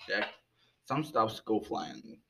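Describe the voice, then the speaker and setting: A young man from Puerto Rico, a native Spanish speaker with a Puerto Rican accent, speaking halting English and searching for words. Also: on the low side, expressive, vocal fry, sharp tone, slight background noise. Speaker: ESL student.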